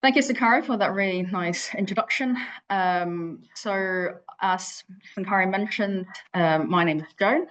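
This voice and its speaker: A woman's voice: mid-range voice, unhurried, fairly bright tone, poor audio. A woman from Hong Kong giving a virtual conference talk as an invited researcher, with frequent fillers and restarts. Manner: hesitant, many fillers and restarts. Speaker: invited speaker, lab leader.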